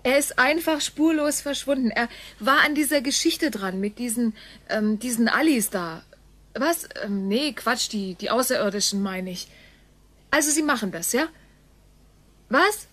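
An actress playing a flustered, impatient daughter on a halting phone call in a radio play. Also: female voice, on the high side, animated, a bit bright. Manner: halting one-sided phone talk.

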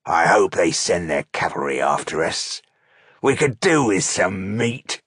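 A man voicing a brutal, arrogant orc chieftain for an audiobook, growling and snarling his orders in a rough voice. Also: low-pitched, big pitch swings, unhurried, a bit bright, no echo, studio quality, heavily acted. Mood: malevolent relish.

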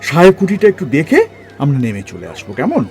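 A bossy curious boss man in an audio drama, high voice, swoops around a lot. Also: brisk, soft background music. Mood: musing, brisk. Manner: animated, commanding, colloquial. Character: bossy, curious man in charge, exploring a ruin. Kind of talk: Bengali audio story acting.

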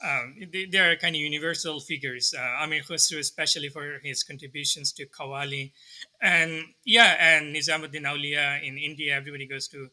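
A man in his thirties from Bangladesh, answering interview questions thoughtfully over a video call from a study lined with books, pausing and restarting often. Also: fairly high pitch, fairly even intonation, bright, forceful tone. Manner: thoughtful, many restarts and fillers. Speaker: guest scholar. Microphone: video call webcam.

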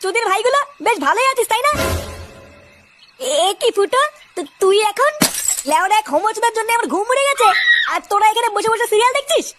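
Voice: extremely high pitch, expressive, fast, a bit bright, a little hiss or hum. A performer voicing a bratty, hot-headed, foul-mouthed male cartoon character in an exaggerated, ranting comic style. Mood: angry ranting. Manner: whiny, ranting.

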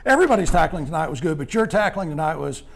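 An older man interviewing high school football players in a clubhouse after a game, upbeat and full of praise. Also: big pitch swings, very fast, gravelly, slight background noise. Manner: upbeat, praising, rambling questions. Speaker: postgame interviewer. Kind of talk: postgame sports interview.

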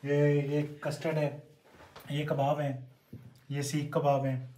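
A man's voice: on the high side, level tone, slow, mellow. A young man chatting casually and playfully as he hosts a family vlog. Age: young adult. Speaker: vlogger, son filming the family. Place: home dining room. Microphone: handheld phone. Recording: echoey room, faint dish clatter.

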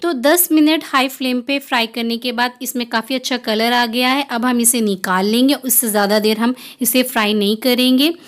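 A woman narrates as a home cook. Her voice sits a little high. She talks in a plain, instructional way, taking the listener through a recipe one step at a time.

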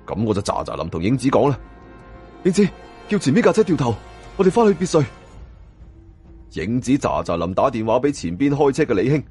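A man's voice: mid-range voice, mellow, husky. A man with a Hong Kong Cantonese accent narrating a suspense novel as a dramatic audiobook storyteller.

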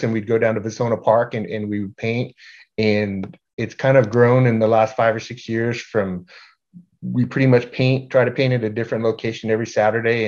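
A middle-aged man giving an informal slide presentation as a group organizer, halting and repeating words in a self-deprecating way. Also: mid-range voice. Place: indoor room at home, on a video call. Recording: dull, lo-fi video call audio.

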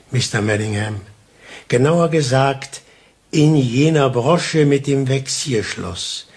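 An actor playing a shrewd police inspector in a radio play, speaking deliberately and theatrically with taunting insinuation. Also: male voice, mid-range voice, vocal fry. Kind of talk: German radio play acting.

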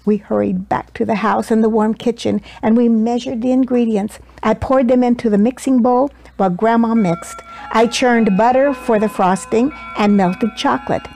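An older woman reading a children's picture book aloud in an expressive, deliberate and dramatic storytelling manner. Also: fairly high pitch, creaky, faint music behind, poor audio. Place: small cosy living room indoors. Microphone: small clip-on lapel mic.

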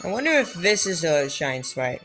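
A woman giving casual, excitable commentary while playing a video game, joking and muttering asides. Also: fairly low pitch, moderate pace. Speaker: let's play gamer. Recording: faint game music behind.

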